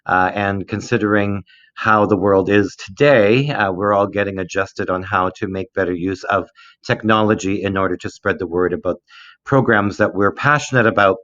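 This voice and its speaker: A middle-aged man giving an informal online presentation as an education director, explaining things in a measured, hesitant way. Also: on the low side, fairly bright tone. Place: indoors, recorded remotely for an online conference. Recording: roomy, dull lo-fi online recording.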